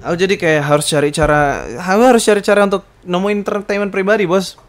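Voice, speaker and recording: A man's voice: high-pitched, steady tone, fast. A man hosts a casual, rambling solo podcast, hesitantly searching for words and slipping in slang and English. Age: 20s. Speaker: podcast host.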